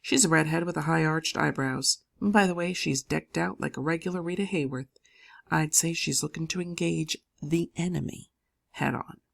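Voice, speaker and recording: A woman's voice: low-pitched, a little fast, gravelly, few pauses. A woman voicing a gossipy older schoolgirl who speaks in a confiding tone.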